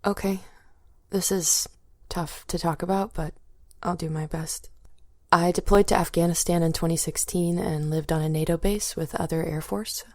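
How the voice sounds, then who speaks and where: An American woman playing a distressed young Air Force veteran in an acted interview, halting and reluctant as she speaks. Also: fairly low pitch, fairly even intonation, dry recording, studio quality, natural voice. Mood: distressed, ashamed. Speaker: voice actor in a training simulation. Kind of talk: acted clinical interview testimony.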